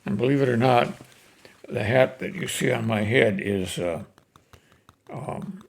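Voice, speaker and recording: Older man, folksy storyteller, gravelly edge. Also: mid-range voice, slight background noise. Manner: folksy, unhurried, with pauses between phrases. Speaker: host reading cowboy poetry. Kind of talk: casual introduction and expressive poem recitation.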